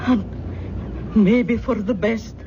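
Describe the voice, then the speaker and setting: Slow-spoken, pious older woman. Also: a little high. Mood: grieving, resigned. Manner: mournful, hesitant, drawn-out. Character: anxious, pious, tender older Scottish woman. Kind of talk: vintage radio drama acting. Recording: muffled, noisy old radio recording.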